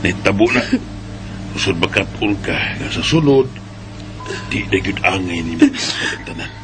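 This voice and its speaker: Radio drama father: actor playing kindly Bisaya dad, lowish voice, very varied intonation, prominent music behind. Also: lively intonation, normal speed. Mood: consoling. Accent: Filipino, Bisaya. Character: gentle consoling father of a heartbroken daughter.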